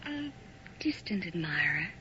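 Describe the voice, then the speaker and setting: A woman's voice: on the low side, very animated. An actress playing a mysterious, seductive woman on the phone, coy and half-whispered, in a 1980s radio drama. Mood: teasing. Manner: coy, teasing, drawn-out phrasing. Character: sultry mysterious woman caller, teasing, seductive. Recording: muffled old radio recording with hiss.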